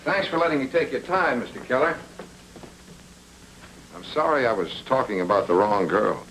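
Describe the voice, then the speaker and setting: An actor playing a cool, wry private detective in deadpan film noir style. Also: male voice, medium pitch, moderate pace, close to an everyday voice, low-quality recording. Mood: probing, polite. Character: cool, wry hard-boiled private detective, adult man. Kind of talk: film noir acting, old-time crime drama. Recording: old radio-style film soundtrack, music behind.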